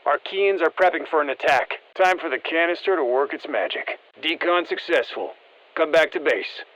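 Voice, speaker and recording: A man voicing a seasoned special-forces operator in a video game, briefing the squad in a terse, steady, encouraging way. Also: on the high side, moderate pace, dry recording, lo-fi sound, natural voice. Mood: focused, encouraging. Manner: terse, matter-of-fact military delivery. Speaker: military operator giving mission guidance. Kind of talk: scripted video game voice lines.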